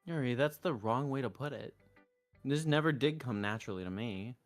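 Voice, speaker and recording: A man's voice: mid-range voice, moderate pace, faint music behind. A man streaming a game, reading a visual novel's narration and dialogue aloud into a close mic.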